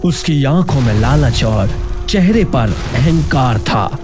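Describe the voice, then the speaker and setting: A man's voice: medium pitch, lively intonation, slow pace. A man narrating a scripted children's story in a dramatic style. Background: loud background music.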